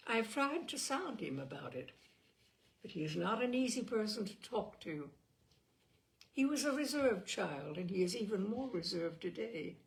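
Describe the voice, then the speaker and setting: A woman reading literary fiction aloud as an audiobook narrator, in a measured manner with the odd stumble. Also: medium pitch, vocal fry. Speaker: audiobook reader.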